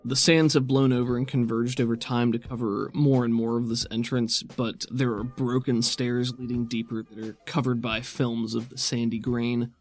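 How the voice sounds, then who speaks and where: A man's voice: mid-range voice, level tone, moderate pace. A man running a tabletop game as game master, narrating scenes off the cuff in a casual, encouraging way. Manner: encouraging, affirming. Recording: background music under the voice.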